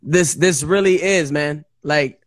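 Male livestream host, high voice, exuberant, praise-filled casual talk and prayer, dry recording. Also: takes it slowly, dry recording.

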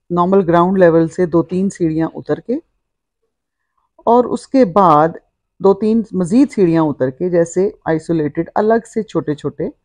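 A woman's voice: low-pitched, darkish tone, no echo. A middle-aged woman, narrating a walk-through vlog in a descriptive, explanatory way. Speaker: vlogger.

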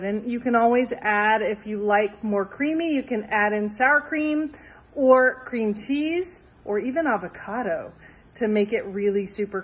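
Husky-voiced woman chatting in her kitchen. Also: on the high side, poor audio. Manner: chatty and enthusiastic, upbeat asides. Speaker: home cooking vlogger. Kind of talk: casual cooking demo. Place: home kitchen indoors. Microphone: phone held close, later propped farther off.

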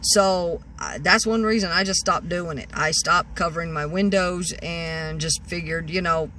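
A woman's voice: fairly low pitch, measured pace, pushed and bright, slight background noise. A woman in her sixties telling a rambling story from her parked car, with lots of restarts and fillers, filmed on a phone at arm's length. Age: 60s. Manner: rambling, many restarts and "you know"s. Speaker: vlogger. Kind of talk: casual storytelling. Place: parked car, indoors of vehicle.

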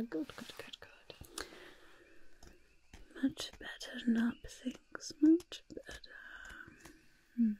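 A young woman voicing a grudging, smug goblin disguise artist in a breathy, raspy, soft-spoken ASMR roleplay, muttering reassurances close to the microphone. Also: medium pitch, full character voice. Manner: refers to self by name, mutters and repeats reassurances. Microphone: close microphone, face close to camera.

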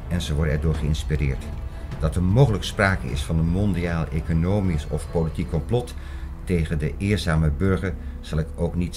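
An actor in a radio drama playing a sceptical, learned man who argues in a measured, lecturing and dismissive way. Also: a bass voice. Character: sceptical, learned older male friend named Klaus, dismissive. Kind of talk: radio drama dialogue acting.

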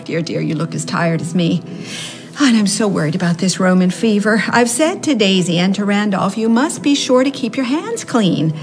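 A fretful middle-aged American mother in a radio play, acted by a woman, rambling and gossipy. Her voice is mid-pitched, with music underneath. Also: expressive, moderate pace, natural voice. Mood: fretful, chatty. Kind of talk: radio drama acting.

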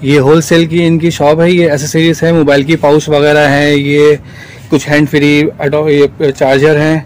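A man's voice: fairly high pitch, little pitch movement, moderate pace. A man from Pakistan, hosting a casual shop vlog and echoing his guest's words back with short agreements. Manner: brief agreeing interjections, repeats the guest's words. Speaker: vlogger host. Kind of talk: casual market vlog interview. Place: indoors, busy phone accessories shop.

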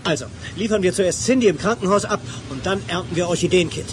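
Male actor for gruff, protective action hero, high male voice, German radio drama. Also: fast talker, over loud music, natural voice. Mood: serious. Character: hot-headed, brave male crime-fighter, protective and gruff.

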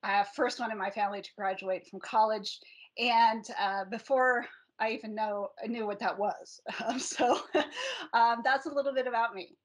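An American woman with a fairly high voice introduces herself to students as a librarian. She speaks in the style of a friendly presentation. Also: normal speed. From North Salt Lake City, Utah, US. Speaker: academic librarian.

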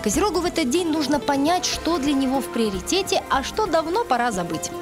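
A woman reading a scripted horoscope voice-over in a bright, sing-song manner, over music. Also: high-pitched, expressive, brisk. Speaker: horoscope announcer. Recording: studio voice-over over loud music. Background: loud music.

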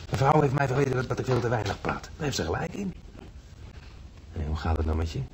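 An actor playing a chatty, flirtatious hospital patient in a radio play. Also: male voice, mid-range voice, sing-song, fast, a little mellow, slight background noise, lightly acted. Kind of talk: radio drama acting.

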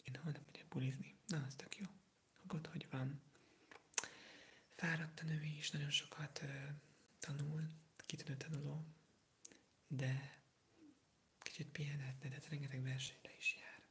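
An eighteen-year-old from Hungary whispering softly through an ASMR livestream, answering viewer comments while sick with a sore throat, rambling and hesitant. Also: mid-range voice, little pitch movement, long pauses. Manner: soft unvoiced whisper, rambling, hesitant with fillers.

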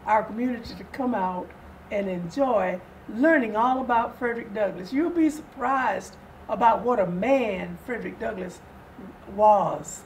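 An older woman in her seventies giving interview answers in a measured, formal, deliberate manner. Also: mid-range voice. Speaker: guest, readers theater director. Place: furnished sitting-room set indoors. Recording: distant mic, audible room noise.

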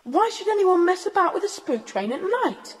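A woman's voice: very high voice, big pitch swings, normal speed. A woman playing an earnest, indignant schoolboy adventurer with an English accent and proper, exclamatory speech in a children's audio drama. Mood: amazed. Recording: faintly noisy cassette transfer.

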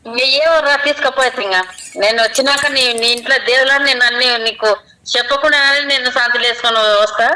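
A middle-aged woman scolds quickly and exasperatedly over the phone. Her voice is high and bright, with a faint hiss on the line. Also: steady tone, poor audio. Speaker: older sister on the phone. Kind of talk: heated phone argument.

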